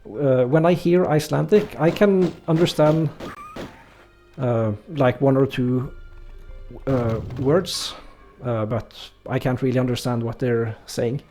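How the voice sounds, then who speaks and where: A man's voice: medium pitch, takes it slowly, soft background music. A man with a Norwegian accent, streaming a game and chatting casually with viewers, hesitant with many fillers. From Norway. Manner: relaxed, hesitant, many fillers, reads chat aloud. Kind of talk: casual let's-play chat with chat readers.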